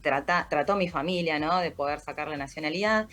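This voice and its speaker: A woman from Argentina with a Rioplatense Spanish accent, speaking in a slightly low voice. She explains things thoughtfully and often checks in with a "¿no?". She sounds like she is on a video call from home. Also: a little fast, fairly bright tone, soft background music. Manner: reflective, explanatory, frequent "¿no?" tags. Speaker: podcast creator, guest.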